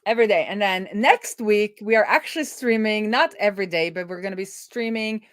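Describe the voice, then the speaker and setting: A woman's voice: medium pitch, fairly quick, fairly bright tone, no echo, studio quality. A woman hosting a casual live coding stream, thinking aloud as she explains technical things informally. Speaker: live-streaming web developer and host.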